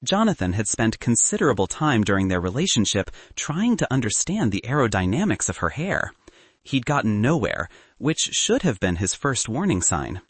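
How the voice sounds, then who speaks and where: A man reads comic fiction in a lively, swinging intonation. His narration is wry and scripted, recorded very dry and close, audiobook style. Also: lowish voice, normal speed, husky, dry recording.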